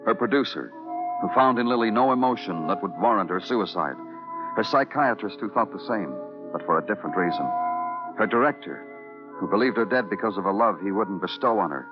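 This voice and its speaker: An American actor playing a weary, steady police detective who narrates in a measured, clipped, brooding way in an old radio crime drama. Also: male voice, on the low side, lightly acted. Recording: dull old radio recording with music.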